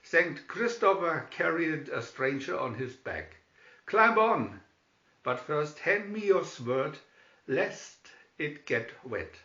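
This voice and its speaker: A man's voice: a little high, very animated, slow, bright, forceful tone, full character voice. A man voicing a stout, jolly, sly friar in a storybook, hearty and mock-pious. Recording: slightly dull recording in echoey room.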